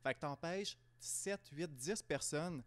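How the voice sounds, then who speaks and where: A man's voice: a little high, slow, a crowd in the background. A man with a Quebec French accent, asking and commenting as a co-host in a casual conversation. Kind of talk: casual chat.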